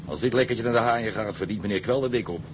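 An actor playing a hearty, boastful, gossipy ship's engineer in a Dutch radio drama, talking in a chummy, colourful, colloquial way. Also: male voice, lowish voice, quick pace, lightly acted. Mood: proud, hearty. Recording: noisy engine ambience behind.

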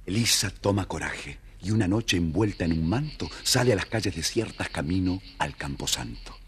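A man narrating a scripted children's fairy tale as an expressive, dramatic storyteller. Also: low-pitched, moderate pace, slightly rough.

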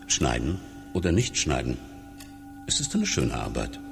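An actor playing a blunt, hardened surgeon in a radio drama, wry and matter-of-fact. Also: male voice, a bass voice, huge pitch swings, unhurried, gravelly, long reverb tail, over music, exaggerated voice. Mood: detached, reflective. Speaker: surgeon and hospital doctor.

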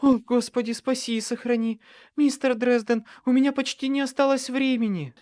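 A man's voice: extremely high pitch, steady tone, normal speed, a little mellow, pitched above the performer's own voice. A man voicing a distressed pregnant woman in a storybook, strained, gasping and pleading. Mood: desperate pleading.

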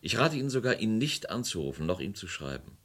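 A courteous but pressing male official in a radio crime drama. He speaks in a deep voice with a very lively melody, officious and insistent. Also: fast talker. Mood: firm, official.

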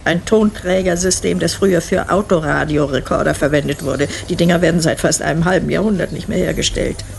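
A woman's voice: fairly low pitch, a little fast, vocal fry, a little mellow, slight background noise. An actress in a German radio play, playing a dry, matter-of-fact, very old woman war veteran. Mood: matter-of-fact, curious. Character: dry, unflappable 181-year-old one-armed war veteran woman.

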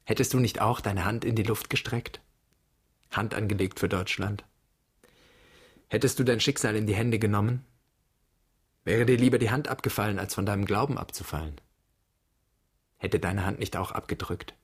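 Low, husky anguished son. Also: male voice. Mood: accusing, insistent. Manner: fragmentary, questioning, poetic delivery with deliberate phrasing. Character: anguished son haunted and accused by his father's wartime guilt. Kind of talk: stylized German radio drama monologue.

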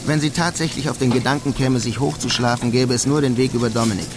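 An actor playing a wry, resigned immigrant who narrates a radio drama in a dry, laconic way. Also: male voice, mid-range voice, steady tone, quick pace, close to an everyday voice. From Germany. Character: wry, resigned German immigrant man in New York. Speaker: first-person narrator.

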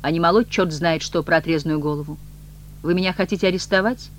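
A man's voice: fairly high pitch, fast, a bit bright, slight background noise, lightly acted. A man voicing a proud, unhappy woman in an audiobook, emotional and wary, moving between indignation and pleading. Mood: indignant.

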